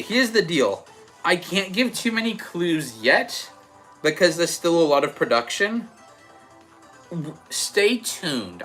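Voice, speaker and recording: A young man with an Australian accent softened by American, chatting casually and rambling a little as he hosts a livestream and answers comments. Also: on the high side. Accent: Australian with some American. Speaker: livestream host. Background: background music.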